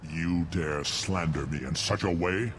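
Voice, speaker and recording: A man's voice: deep voice, unhurried, slight background noise. A man playing a proud, hostile alien warrior in a video game, scornful and combative in a declamatory way. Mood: indignant. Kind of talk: video game cutscene acting.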